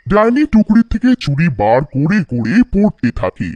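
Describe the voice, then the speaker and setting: Animated, mellow male storyteller. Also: on the high side, moderate pace, no echo, highs cut off. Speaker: narrator. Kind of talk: scripted children's folktale narration.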